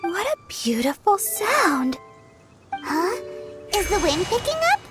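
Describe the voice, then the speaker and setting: Squeaky, very high little fairy girl. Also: very animated, slow, exaggerated voice. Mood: surprised. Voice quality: squeaky, childlike. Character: tiny excitable childlike fairy companion girl. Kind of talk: video game character acting. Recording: loud music behind voice.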